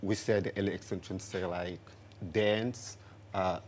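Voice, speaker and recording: A middle-aged man from Senegal, a dance teacher, talking haltingly in a TV studio interview. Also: sits low, steady tone, a little hiss or hum. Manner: warm, halting, with many fillers and restarts.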